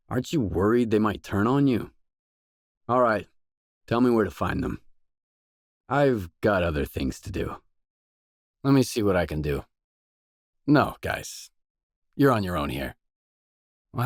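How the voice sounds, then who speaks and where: Guarded stalker mercenary, video game dialogue, lowish male voice, terse, dry delivery, very dry studio sound. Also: no echo. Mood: firm, defiant.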